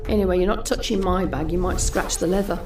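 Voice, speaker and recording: A woman's voice: lowish voice, fast talker, music in the background, lightly acted. An actress playing a jaded, snobbish young British woman who confides private thoughts in a confessional, sardonic audio drama monologue. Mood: scornful, smug. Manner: confessional, sardonic, muttering asides to herself.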